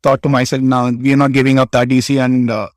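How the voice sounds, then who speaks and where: A man's voice: medium pitch, flat delivery, fast, no room sound, studio quality. Hesitant Indian man with a Tamil accent. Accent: Indian English, Tamil Nadu. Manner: hesitant, many restarts and fillers. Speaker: interview guest, cricket player. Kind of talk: casual interview answers.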